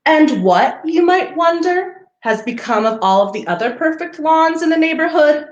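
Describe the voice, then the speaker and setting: A woman's voice: high-pitched, expressive, normal speed, lo-fi sound. A woman reading a children's picture book aloud, expressively, with chatty asides to young listeners. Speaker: storybook reader for a parks program. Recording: roomy, echoing sound.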